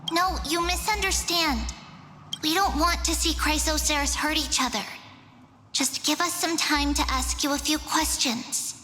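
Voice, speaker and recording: A small, earnest girl prophet in a fantasy game. The voice is high and bright, and she takes her time, speaking with careful, pleading sincerity. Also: steady tone, unhurried, big-room sound, faint music behind. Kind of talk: dramatic game dialogue.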